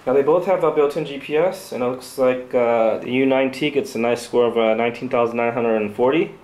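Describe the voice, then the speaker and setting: A man giving an unscripted product review, speaking in a hesitant, halting, off-the-cuff way as a channel host. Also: mid-range voice, darkish tone.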